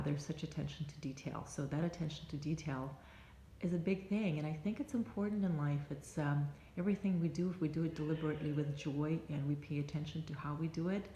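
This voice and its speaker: A native Russian-speaking woman with a Russian accent, giving reflective advice to camera in a halting, thoughtful way with pauses mid-sentence. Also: low voice, dark tone. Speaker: life coach and vlogger. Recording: roomy echo, faint background noise.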